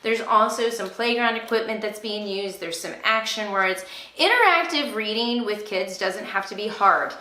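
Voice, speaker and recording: A woman's voice: medium pitch, normal speed, bright, forceful tone, rough recording. A woman, a homeschooling mother, talking in an explanatory, encouraging way as she gives teaching tips in a vlog. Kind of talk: instructional vlog talk with read-aloud asides to a child.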